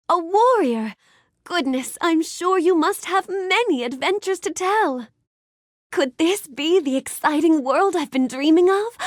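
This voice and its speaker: Casting: a bookish young storybook heroine in a game; high, swooping, gracious, earnest, dry studio sound. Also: female voice, dry recording, exaggerated voice. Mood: excited, delighted. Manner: gracious, earnest, wonder-filled, precisely polite delivery. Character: sweet, polite, bookish young fairy-tale heroine, curious and dreamy. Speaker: video game character voice actress. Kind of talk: animated game character lines addressed to the player.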